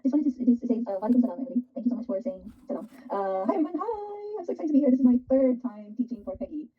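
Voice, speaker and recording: Excited woman with a Punjabi accent. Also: fairly high pitch, husky, phone-quality sound. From Pakistan. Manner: excitable, fast and effusive. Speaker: guest art instructor. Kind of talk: live online art class. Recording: roomy, distant live-stream audio.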